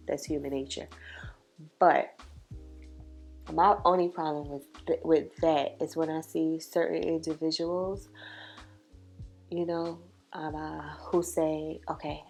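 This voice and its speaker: Woman, low voice, congested, chatty beauty vlog, soft music behind. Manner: chatty, opinionated, drawn-out emphasis. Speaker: beauty vlogger. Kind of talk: casual makeup chat to camera. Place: home makeup setup with ring light. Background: soft background music.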